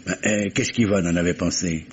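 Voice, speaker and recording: An actor playing a dogged, polite but insinuating police commissioner who probes with stammering courtesy, in vintage French radio mystery style. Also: male voice, low voice, a little fast, natural voice. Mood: probing, insinuating. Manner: hesitant stammering courtesy, probing. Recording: dull vintage radio recording, faint hiss.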